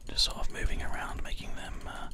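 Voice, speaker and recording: ASMR gaming host: full whisper, calm and unhurried play-by-play, close binaural mic, keys and mouse clicking behind. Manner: calm, unhurried, murmured running commentary. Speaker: host and gamer narrating play. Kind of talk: whispered ASMR game commentary. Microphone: close binaural microphone, ear to ear. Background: keyboard typing and mouse clicks.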